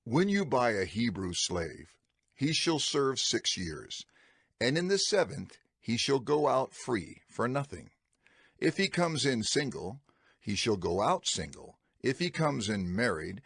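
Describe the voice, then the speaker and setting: A man playing a grave prophet and lawgiver, solemn and deliberate, in a dramatized scripture recording. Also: medium pitch, gravelly, a bit bright, slightly rough, no echo, studio quality, exaggerated voice.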